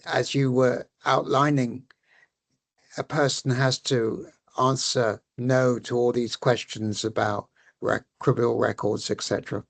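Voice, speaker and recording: A man giving measured remarks as a participant in an online discussion. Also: mid-range voice, level tone, gravelly. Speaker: panel participant. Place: online video call.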